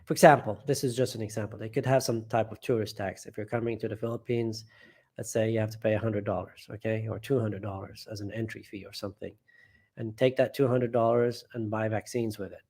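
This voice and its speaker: A man's voice: fairly low pitch, fairly even intonation, a little fast, a little mellow. A man hosting a casual live stream from home, reading viewer comments aloud and rambling, often trailing off and restarting.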